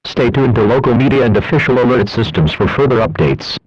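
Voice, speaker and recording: Husky synthetic male alert announcer, muffled audio. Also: mid-range voice, lively intonation, a little mellow, no echo. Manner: formal, impersonal, measured reading. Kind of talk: scripted emergency alert and weather broadcast. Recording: dull lo-fi radio-style broadcast audio.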